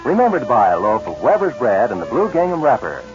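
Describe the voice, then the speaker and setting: A man's voice: medium pitch, wildly swooping pitch, fast. A man with an American accent reading scripted announcements and commercials in a 1940s radio style. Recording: muffled vintage radio broadcast, music behind.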